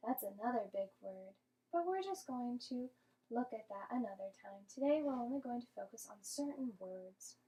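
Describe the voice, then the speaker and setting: A woman teaching young children through an interactive read-aloud, speaking in clear instructional phrases. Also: fairly high pitch, lively intonation, normal speed, warm tone, low-quality recording. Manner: clear instructional phrasing, questioning, sounding out syllables. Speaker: primary school teacher.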